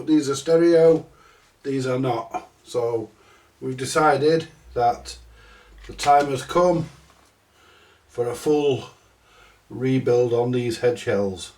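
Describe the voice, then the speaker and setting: A man's voice: mid-range voice, fairly even intonation, darkish tone, echoey room. A middle-aged man with a stuffy cold, talking through a repair in a small workshop, rambling and swearing casually. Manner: slow, rambling, sweary, self-mocking. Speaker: repair channel host. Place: small cluttered electronics workshop, indoors. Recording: distant from the mic.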